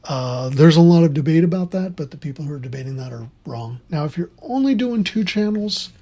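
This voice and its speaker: An instructor of unclear sex giving an informal, opinionated tutorial in a husky, conversational voice that rambles along. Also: mid-range voice, lively intonation, fairly quick, dark tone. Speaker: instructor in theater sound.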